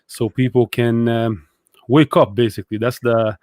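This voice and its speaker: A thoughtful young man from Egypt tells his story as a guest in a relaxed talk. He pauses often and fills the gaps with ums. His voice sits at a middle pitch and sounds dry and close. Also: no room sound. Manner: thoughtful, hesitant, many fillers. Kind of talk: casual conversation, storytelling.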